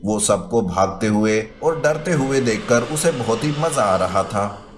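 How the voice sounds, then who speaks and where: A man's voice: lowish voice, animated, measured pace, heavy background noise. A man reading scripted narration for a children's story as the storyteller. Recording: loud music behind voice.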